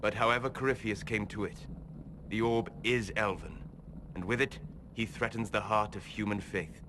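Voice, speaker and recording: An actor playing a calm, learned elf mage in a video game, speaking in a grave, measured, cryptic way. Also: male voice, fairly low pitch, noisy background, close to an everyday voice. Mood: grave, reflective. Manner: measured, solemn, deliberate. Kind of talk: dramatic video game dialogue. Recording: game audio under music and ambience.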